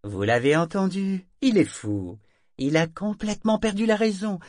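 A man's voice: sits high, animated, normal speed, darkish tone, dry recording, clean studio sound, voice pushed up. A man voicing a scheming male trader who talks in a confident, persuasive tone. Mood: smug. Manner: assured, persuasive.

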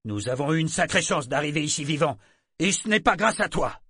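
A man's voice: a little high, expressive, fairly quick, fairly bright tone, slightly rough, no room sound, studio quality, voice pushed up. A man voicing a furious, contemptuous ship's captain in an audiobook, harsh, accusatory and snarling. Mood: scornful rage. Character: hard, furious, contemptuous male ship captain. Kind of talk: audiobook character acting.

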